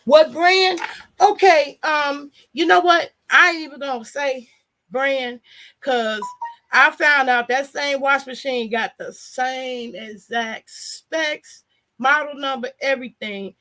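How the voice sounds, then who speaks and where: A hoarse, nasal woman vlogger chatting casually and frankly to her viewers in a loose, rambling way. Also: sits high, takes it slowly, bright, forceful tone. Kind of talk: casual chat to a live audience.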